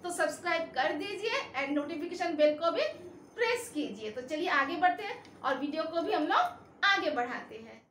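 A middle-aged woman teaching a language lesson at a whiteboard, slowly repeating each phrase for her learners. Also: extremely high pitch, expressive, a bit bright, echoey room, slight background noise. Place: indoor room in front of a whiteboard.

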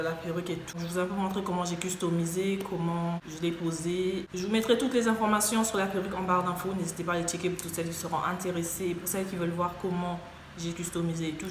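A young woman talks to her viewers in a chatty, upbeat way, her voice a little stuffy from a cold. Her voice sits somewhat low. The room sounds echoey, with clear noise behind her. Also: steady tone, quick pace. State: has a cold. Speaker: beauty vlogger. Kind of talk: casual hair tutorial talk to camera. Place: small room indoors.

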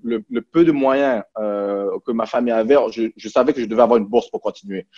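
Through slightly dull, lo-fi call audio, a man in his thirties from French Guiana answers as an interview guest. His voice sits at a middle pitch, and he talks casually in a hesitant, stop-start way. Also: fairly quick, mellow. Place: small plain room indoors. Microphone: video call.

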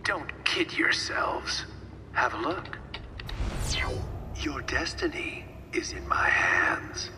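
Game villain: self-important older chief, cold, deliberate, slightly high voice, distant echoing broadcast. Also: male voice, animated, in a whisper. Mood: menacing. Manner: pompous, slow, coldly deliberate. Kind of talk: villain speech in game cutscene. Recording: echoey, reverberant, distant, music behind.

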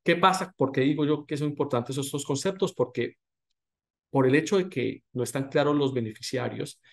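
A man in his thirties lectures over slides, recorded through a webcam in an indoor room. His voice sits at an ordinary middle pitch. His delivery is measured, with frequent restarts and fillers.

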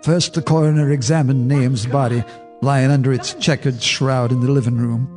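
A man narrating in the first person for a radio drama, measured and grave. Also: mid-range voice, fairly even intonation, a little mellow. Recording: radio drama with faint music underneath.

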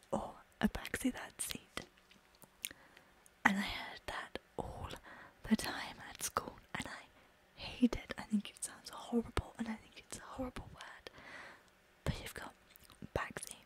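A British woman whispering slowly for an ASMR video, softly repeating single words over and over. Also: on the high side, steady tone. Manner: soft whisper, slow deliberate repetition of words. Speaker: ASMR creator.

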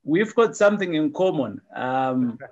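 A man's voice: on the high side, big pitch swings, measured pace, darkish tone, muffled recording. Upbeat middle-aged man hosting a video call. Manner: upbeat, repeats phrases like "awesome stuff". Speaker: host. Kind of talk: casual video-call interview.

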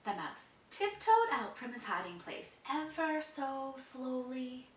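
A woman in her thirties reading a picture book to children in a dramatic, deliberate way. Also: high voice, very animated. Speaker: children's storyteller. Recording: roomy, distant microphone.

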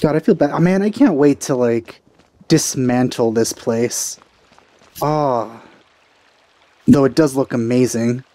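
A man's voice: medium pitch, takes it slowly, long pauses, warm tone, no room sound. A man giving casual commentary as he plays a video game. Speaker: let's player. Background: game music.

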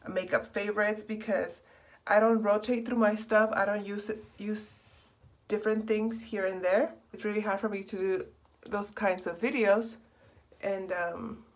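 A young woman, hoarse from a cold and slightly raspy, chatting in a rambling, self-correcting way while doing her makeup in a small bedroom. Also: medium pitch. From Texas. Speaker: beauty vlogger. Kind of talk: casual get-ready-with-me chat. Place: small bedroom in front of a door.

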